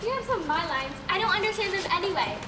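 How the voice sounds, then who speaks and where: A young woman playing a fretful, naive, slow-witted actor who complains, in a stage comedy. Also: very high-pitched, expressive. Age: teenager. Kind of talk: amateur stage comedy acting. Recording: echoey, distant, noisy stage.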